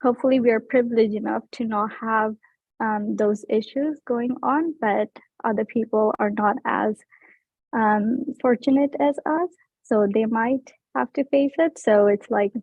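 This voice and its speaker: A woman's voice: a little high, measured pace, gravelly, dry recording. A young woman artist speaking earnestly at an art show about her practice, with many fillers. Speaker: visual artist. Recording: thin phone-like sound.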